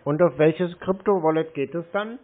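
A man's voice: fairly high pitch, normal speed. A man in a casual phone conversation as a customer, terse and questioning. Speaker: caller posing as a customer. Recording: narrow, tinny phone line.

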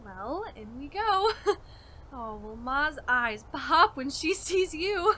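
A woman's voice: high voice, lively intonation, slow, pushed and bright, slight background noise, exaggerated voice. A woman playing an eager, naive young husband with a folksy, coaxing manner in a radio drama. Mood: cheerful, pleading.

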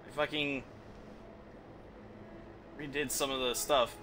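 A man's voice: mid-range voice, level tone, takes it slowly, background noise. A young man giving casual live game commentary in a rambling, informal, sweary way. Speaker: video game streamer. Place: indoor room at a streaming setup. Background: game music and sounds.

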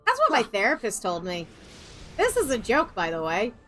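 A woman's voice: a little high, wildly swooping pitch, moderate pace, fairly bright tone, faint music behind. A woman streaming a game and ranting sarcastically with jokes about the plot. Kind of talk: sarcastic gameplay commentary.

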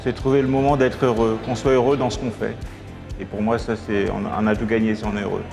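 A middle-aged man speaking calmly and thoughtfully as an interview guest in an indoor hall. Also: on the low side, fast, creaky. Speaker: guest, contest sponsor. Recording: music bed under the voice.

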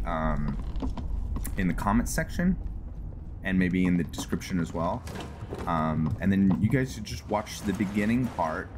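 A man's voice: low voice, noisy background. A man giving casual game commentary as a host, rambling and thinking aloud over his play. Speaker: host of a gaming roleplay series. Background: background music.

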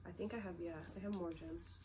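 A woman's voice: on the low side, steady tone, a little fast. A young woman with a stuffy head cold, chatting and rambling casually in her bedroom with lots of "like" fillers. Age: young adult. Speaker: vlogger. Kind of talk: casual show-and-tell chat. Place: bedroom, sitting on the bed. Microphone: camera set low in front of her. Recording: noisy home recording.

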